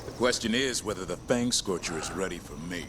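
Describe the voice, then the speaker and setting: A man's voice: low voice, a little fast, close to an everyday voice. A man playing a confident, proud warrior with dry humour in a fantasy video game. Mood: cocky, dry. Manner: self-assured, dryly teasing. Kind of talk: video game character acting. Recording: loud ambient noise behind the voice.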